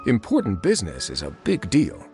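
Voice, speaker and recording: A wry, teasing warden. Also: male voice, lowish voice, huge pitch swings, moderate pace. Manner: wry, joking delivery. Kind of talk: video game dialogue. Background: soft music.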